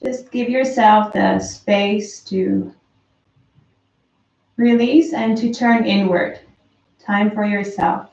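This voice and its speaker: A woman slowly and softly guiding a breathing meditation, calm with long pauses. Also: medium pitch, gravelly. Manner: slow, soft, calm guidance with long pauses. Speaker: meditation teacher. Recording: roomy, distant, dull lo-fi sound.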